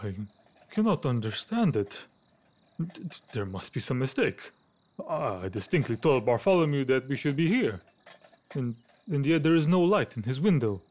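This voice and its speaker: A man playing a fussy, anxious Victorian gentleman in an audio drama, hesitant and stammering as he talks. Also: medium pitch, sing-song, normal speed. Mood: anxious, puzzled.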